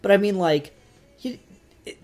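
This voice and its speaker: A man's voice: high-pitched, lively intonation, unhurried, a little mellow, soft background music. Earnest man in casual review chat. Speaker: podcast host.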